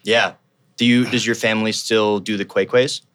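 A man's voice: fairly low pitch, steady tone, moderate pace, fairly bright tone, no room sound. Upbeat male interview host. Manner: upbeat, friendly questions with fillers. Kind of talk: casual interview.

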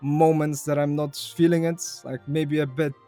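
A man's voice: on the high side, little pitch movement, normal speed, mellow, faint music behind. Young man. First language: Bulgarian. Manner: chatty, laughs easily, repeats phrases. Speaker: guest. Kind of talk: casual podcast interview.

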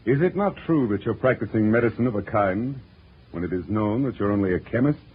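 An actor playing a pompous, scornful French court physician in a 1940s radio drama, haughty, sarcastic and formal. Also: male voice, lowish voice, animated, brisk, close to an everyday voice. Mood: haughty challenge. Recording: tinny, noisy vintage radio broadcast.

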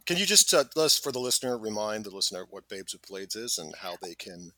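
A man's voice: on the low side, very animated, a little fast, sharp tone, dry recording. A man hosting a casual podcast, asking eager, curious questions. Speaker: host.

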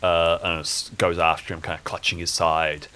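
A man narrating his character's actions in an improvised audio drama, casually and haltingly, feeling out each description. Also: sits low, level tone, bright, forceful tone, slight background noise. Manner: casual, groping descriptions full of "like".

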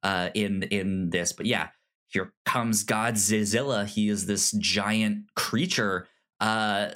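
A podcast co-host in a casual film discussion, talking informally and haltingly with repeated stammered starts. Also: deep voice, steady tone, fairly bright tone.

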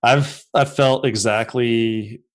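A man's voice: medium pitch, steady tone, slow pace. A man in his fifties hosting a casual interview, reflective and rambling, laughing now and then. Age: 50s. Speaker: podcast host.

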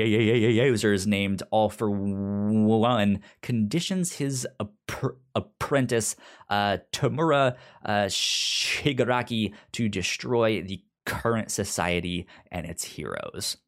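A man hosting a podcast in a casual, chatty style, with a stutter that repeats sounds and syllables. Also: lowish voice. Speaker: podcast host.

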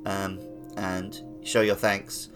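A man's voice: deep voice, little pitch movement, takes it slowly, fairly bright tone, faint music behind. A man co-hosting a gaming podcast, chatting casually about the game and the show. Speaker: podcast co-host.

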